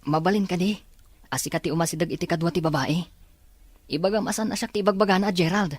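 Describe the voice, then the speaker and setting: A woman voicing an earnest, lovelorn young male student in an audio drama, with a husky voice. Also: on the low side, quick pace, dry recording. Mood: troubled, earnest. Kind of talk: Filipino audio drama dialogue in Ilocano.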